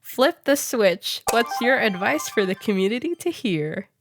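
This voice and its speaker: A woman's voice: a little high, animated, measured pace, background noise. A woman hosting a casual interview in a conversational style. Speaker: interviewer, show host.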